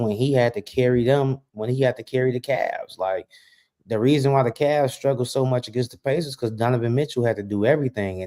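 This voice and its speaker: A man's voice: medium pitch, darkish tone. A man co-hosting a sports podcast, explaining basketball points in casual, slangy speech.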